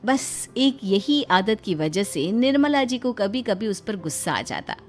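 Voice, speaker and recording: A woman's voice: mid-range voice, animated, a little slow, faint music behind. A woman giving scripted narration for a comic cartoon family story.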